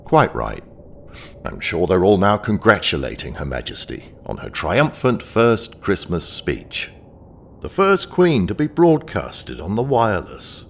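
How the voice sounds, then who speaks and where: Man acting a deep, creaky young British husband. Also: huge pitch swings, measured pace, a bit bright, a little hiss or hum, full character voice. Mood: easygoing. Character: teasing young British working-class husband and son, mildly exasperated. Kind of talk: audio drama acting.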